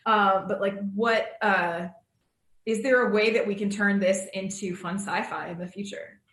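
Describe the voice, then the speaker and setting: A woman's voice: medium pitch, steady tone, normal speed, a bit bright, roomy sound. An American woman casually moderating a live panel over a video call, informal and hesitant as she thinks aloud. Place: indoor room, on Zoom call.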